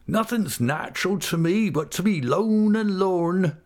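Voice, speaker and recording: Whimpering, lonesome old countrywoman played by a male reader, high-pitched, swooping, English rustic speech, full of self-pity. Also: expressive. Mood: forlorn. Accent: rural English dialect. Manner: plaintive, whimpering, drawn-out. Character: whimpering, self-pitying, forlorn old widow woman.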